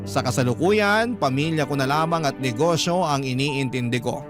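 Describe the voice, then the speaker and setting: A man's voice: mid-range voice, normal speed. A man with a Filipino accent reading a letter aloud as a radio host, in a dramatic storytelling style. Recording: music bed behind narration. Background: instrumental music.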